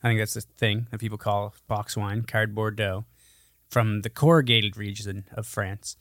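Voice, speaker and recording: A man's voice: lowish voice, fairly even intonation, moderate pace, a bit bright, no echo, clean studio sound. A man in his thirties chatting casually as a podcast co-host, telling stories in a jokey, sweary way. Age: 30s. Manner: profane, jokey. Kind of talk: casual chat, storytelling.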